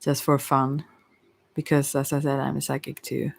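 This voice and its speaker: A psychic tarot reader speaking in a gentle, consoling ASMR style, rambling and often correcting themselves while giving unscripted advice. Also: medium pitch, completely flat delivery, moderate pace, vocal fry.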